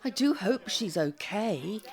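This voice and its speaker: A fussy older British busybody, with a very lively, swooping delivery. She is brisk and bossy in an audio comedy drama, at a medium pitch for a woman. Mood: fussy, exasperated. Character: bossy, fussy, chirpy elderly woman care-home resident.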